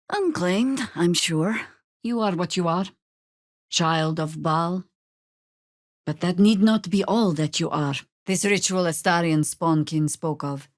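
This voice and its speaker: A woman voicing a battle-weary, middle-aged druid in a fantasy video game, dry and wry, speaking in measured, formal phrases. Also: fairly low pitch, no room sound, close to an everyday voice. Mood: grave, measured. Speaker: video game companion character.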